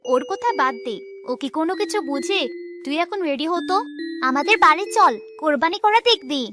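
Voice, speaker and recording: A woman plays a kind young cartoon girl with a high voice. She is loyal and protective, speaking in a caring, forthright way. Music is clearly present behind her. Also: lively intonation, a little fast. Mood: caring, eager. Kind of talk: cartoon voice acting, moral story.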